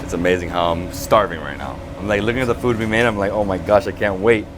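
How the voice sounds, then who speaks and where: Male food vlog host, curious and chatty, low-ish voice, loud kitchen background. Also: quick pace, background noise. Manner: curious, asks lots of questions. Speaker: travel food vlogger host. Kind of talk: casual on-location chat. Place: restaurant kitchen.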